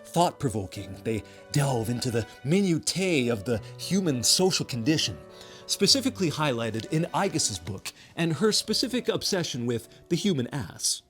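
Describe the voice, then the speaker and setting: A man's voice: a little high, sing-song, normal speed, a bit bright. A man reading a scripted video essay about a game, enthusiastic with casual, wry asides. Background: background music.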